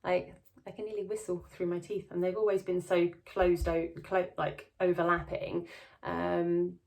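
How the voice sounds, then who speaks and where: Woman vlogger, slightly low voice, soft lisp, rambling and chatty with lots of ums. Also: level tone, unhurried, creaky. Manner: chatty, many ums and restarts. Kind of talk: casual diary vlog. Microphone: camera close-up.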